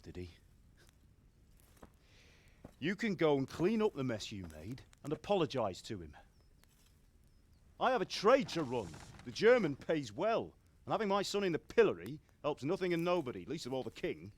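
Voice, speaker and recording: An actor playing a stern middle-aged blacksmith father in a video game, with a gruff, lecturing manner. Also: medium pitch, huge pitch swings, moderate pace. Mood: stern, scolding. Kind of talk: dramatic video game acting. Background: music.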